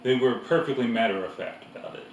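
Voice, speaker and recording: A man's voice: mid-range voice, fast talker. A man reading a storybook aloud as narrator. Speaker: reader. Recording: echoey room, distant mic, faint noise.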